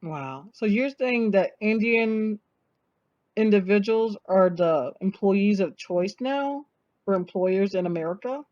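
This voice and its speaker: A woman host with a mellow, relaxed voice asks measured questions. The recording is dry and dull, with a muffled lo-fi edge. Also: mid-range voice, no echo. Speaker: host, interviewer. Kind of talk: interview questions.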